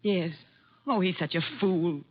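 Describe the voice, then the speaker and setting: An actress playing an embittered, emotional secretary in a vintage radio drama, with an intense, melodramatic delivery. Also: female voice, on the low side, measured pace. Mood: anxious, distressed. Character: emotional, embittered woman secretary with wounded pride. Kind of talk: 1940s-50s radio drama acting. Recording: dull vintage radio recording.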